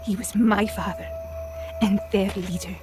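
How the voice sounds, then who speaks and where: An actress playing a proud, resolute Italian woman who leads wartime partisans in a video game, grave and measured, with an Italian accent. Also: mid-range voice, fairly even intonation, music in the background, lightly acted. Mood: proud, hopeful. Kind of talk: video game cutscene acting.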